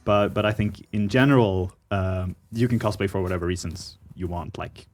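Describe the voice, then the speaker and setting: Male guest in his thirties, lower pitch, hesitant delivery. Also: soft background music. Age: 30s. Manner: halting, with many fillers and restarts. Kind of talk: casual panel chat.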